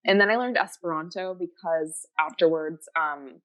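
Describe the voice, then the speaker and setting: A woman's voice: fairly low pitch, moderate pace, low-quality recording. A woman whose first language is Japanese, chatting enthusiastically as a podcast guest with lots of fillers. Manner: rambling, enthusiastic, many fillers and restarts. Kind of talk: casual chat.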